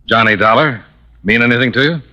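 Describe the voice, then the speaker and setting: A cool, probing American investigator from a vintage radio mystery. He speaks with a bright, hard edge in short, dry questions and terse first-person narration. The sound is the dull, narrow one of an old broadcast. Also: mid-range voice, fairly quick. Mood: wry, suspicious. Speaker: actor playing the lead in a radio detective drama.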